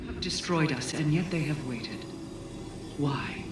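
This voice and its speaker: A woman playing an imperious, wary queen who leads a coven, speaking formally and gravely in a video game cutscene. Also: deep voice, slow pace, heavy background noise. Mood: grave, distrustful. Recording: echoing, distant voice under music. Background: music.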